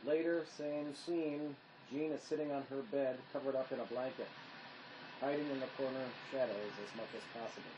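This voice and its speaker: A man's voice: mid-range voice, steady tone, normal speed. A man reading stage directions as scripted narration. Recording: clear background noise.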